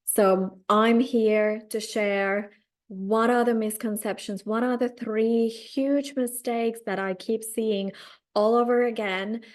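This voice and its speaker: A woman's voice: a little high, fairly even intonation. A woman from Finland with a Finnish accent, a career coach giving a live-streamed training talk and speaking straight to her viewers in an encouraging way. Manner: unhurried, encouraging, addresses viewers directly.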